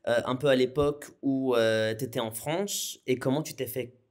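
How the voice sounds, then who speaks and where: A man's voice: medium pitch, steady tone, moderate pace, a little mellow. A man hosting a casual podcast interview, chatting informally and a little hesitantly, with some teasing. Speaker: podcast host.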